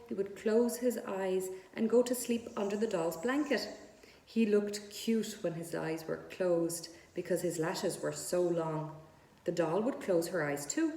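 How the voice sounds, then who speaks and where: A woman's voice: mid-range voice, warm tone. A woman narrating a children's book aloud as a storyteller. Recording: echoey room.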